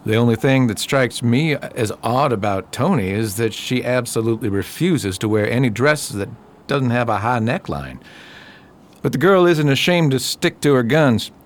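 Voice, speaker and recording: Man acting a level-headed, mid-pitched investigator. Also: lively intonation, normal speed, natural voice. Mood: friendly, matter-of-fact. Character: plain-spoken, skeptical investigator, a loyal friend. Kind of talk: radio mystery drama acting.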